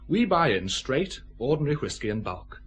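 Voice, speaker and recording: An actor playing a genial, proud boss of a small firm, chatty and expansive in an audio drama. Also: male voice, medium pitch, very animated, normal speed, close to an everyday voice. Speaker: actor in a radio detective drama.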